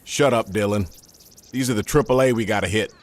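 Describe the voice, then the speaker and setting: A man with an American accent playing a gruff, no-nonsense squad leader in a war video game, speaking in curt commands. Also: on the low side, fairly quick, close to an everyday voice. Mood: curt, resolute. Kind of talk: video game cutscene dialogue.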